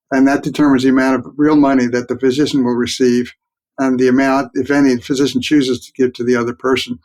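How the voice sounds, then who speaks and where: Older man, medium pitch, physician researcher, measured explanatory interview answers. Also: a little mellow. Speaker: guest researcher, physician and emeritus professor.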